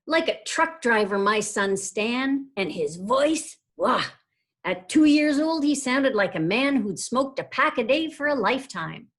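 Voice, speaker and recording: A woman's voice: a little high, expressive, a little slow, a bit bright, voice pushed up. A woman voicing a doting mother who boasts about her son in an exclamatory, proud storybook manner.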